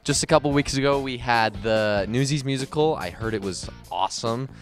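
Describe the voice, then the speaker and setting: A man's voice: mid-range voice, a little fast. A teenage young man presenting current events on a student news show in a casual way. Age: teens. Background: background music.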